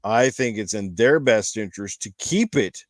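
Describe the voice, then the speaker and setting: A man's voice: on the low side, expressive, moderate pace, dry recording. A man hosting a casual gaming talk show, talking in a rambling, emphatic, explanatory way. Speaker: podcast host.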